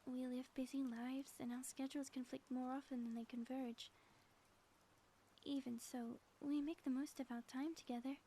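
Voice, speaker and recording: A woman's voice: high voice, level tone, normal speed, mellow, a little hiss or hum. A woman playing a theatrical, lovestruck ex-supervillain in an audio roleplay, speaking in formal, grandiose phrases. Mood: tender, earnest.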